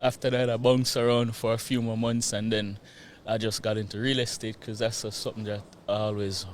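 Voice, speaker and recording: A man's voice: lowish voice, flat delivery, brisk, a little hiss or hum. Man, Jamaican accent, interview guest, casual chat, chuckles often, doubles up his words. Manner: laughs often, repeats words as he talks. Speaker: guest, real estate investor.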